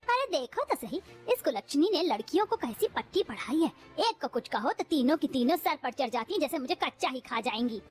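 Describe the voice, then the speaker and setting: A shrill, cruel mother-in-law. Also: big pitch swings, fairly quick, hardly any pauses, soft background music. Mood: taunting. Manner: scolding, taunting, insulting.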